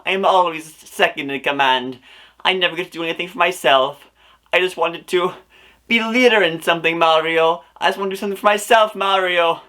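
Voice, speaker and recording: Casting: man playing guilt-ridden cartoon sidekick brother, melodramatic, whiny, high and tense. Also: fairly quick, bright, forceful tone. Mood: anguished confession. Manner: melodramatic, pleading.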